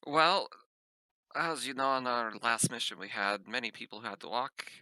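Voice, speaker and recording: A man's voice: mid-range voice, normal speed, pushed and bright, dry recording, rough recording. A man playing a practical, concerned starship captain in a tabletop sci-fi game, explaining things matter-of-factly. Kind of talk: in-character tabletop sci-fi roleplay.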